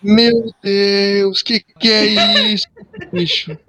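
A man's voice: high voice, level tone, slow pace, a bit bright. A man with a Brazilian accent joking in a casual group voice call, talking in slangy exclamations. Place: online voice call.

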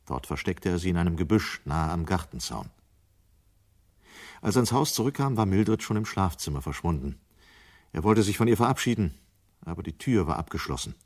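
A man narrating a radio play as a sober storyteller, measured and matter-of-fact. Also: low voice, big pitch swings. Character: sober male storyteller of a dystopian tale.

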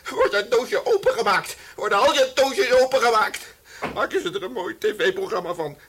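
Een acteur speelt in een komisch hoorspel een onwillige, geërgerde voorbijganger die bot en in spreektaal moppert. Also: male voice, sits high, lively intonation, moderate pace, a bit bright, slight background noise. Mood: angry, taunting. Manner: colloquial, grumbling, increasingly exasperated. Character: reluctant, irritated male passer-by, blunt and exasperated. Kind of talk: comic absurdist radio play acting.

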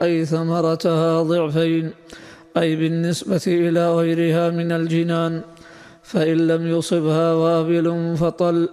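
A man reads a religious commentary aloud formally, in a measured, chanting sing-song manner. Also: a little high, dark tone, clear, resonant tone. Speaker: student reading a commentary text to the teacher. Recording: long hall reverb.

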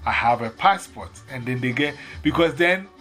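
A man's voice: medium pitch, animated, moderate pace, pushed and bright, soft background music. A man from Ghana with a Ghanaian accent, telling stories in casual chat and acting out both sides of the conversations.

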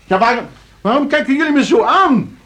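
A man's voice: very high-pitched, a bit bright. A man acting in a Dutch radio play as an anxious, flustered nephew, hesitant and stammering. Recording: clearly noisy old radio play recording.

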